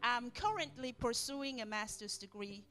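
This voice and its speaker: A woman's voice: on the high side, lively intonation, measured pace, over loud music. A woman with a Cameroonian accent preaching as a guest, speaking in short phrases that leave room for an interpreter. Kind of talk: sermon, testimony delivered in short phrases for interpretation.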